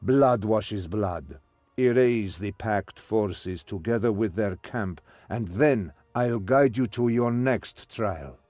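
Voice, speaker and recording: An actor playing a stern, commanding old mentor in a game cutscene, with theatrical delivery. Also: male voice, lowish voice, slow, a little mellow, no echo, soft background music. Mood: indignant. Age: older. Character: stern old male mentor, servant of a master. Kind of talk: scripted game cutscene acting.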